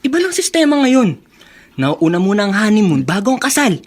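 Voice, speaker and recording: A man's voice: high-pitched, sing-song, fairly quick. A man playing a cocky, lustful young male student in a Filipino radio drama, brash and slangy. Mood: persuading, cheeky. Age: young adult.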